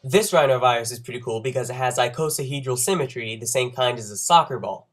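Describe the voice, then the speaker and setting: A man's voice: medium pitch, quick pace, soft background music. A young man with a cold and a congested voice, giving an informal science explainer with wry, nerdy, self-joking asides. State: under the weather with a cold. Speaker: presenter of an educational video.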